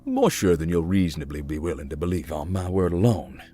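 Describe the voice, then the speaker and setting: A man playing a giant, easygoing, wry explorer in a visual novel, talking in a folksy, deadpan way. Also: low-pitched, a little fast, husky, full character voice. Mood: dry, polite. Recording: music behind the voice.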